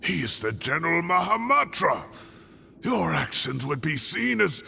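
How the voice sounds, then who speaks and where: Weary old scholar, creaky and deliberate. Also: male voice, a little high, sing-song, sharp tone, full character voice. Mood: defiant. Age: older. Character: weary defiant old scholar, protective former experimenter. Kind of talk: dramatic video game acting. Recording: music behind the voice. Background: game music.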